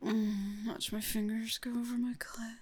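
Slow breathy whispering woman. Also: fairly high pitch, little pitch movement. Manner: soft breathy whisper with sighs and moans; addresses the listener directly and coaxingly. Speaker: erotic audio performer. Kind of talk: intimate whispered audio roleplay.

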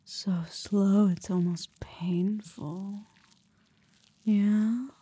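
Breathy woman, ASMR whisper, slow intimate delivery, mellow tone, close mic. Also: medium pitch, fairly even intonation, dry recording. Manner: soft, slow, teasing whisper.

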